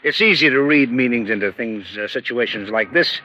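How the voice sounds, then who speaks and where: An actor playing an easygoing, skeptical American police lieutenant in a 1950s radio drama, casually reasoning things through. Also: male voice, medium pitch, expressive, normal speed, pushed and bright, soft background music. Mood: placating skepticism. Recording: dull, lo-fi old radio recording.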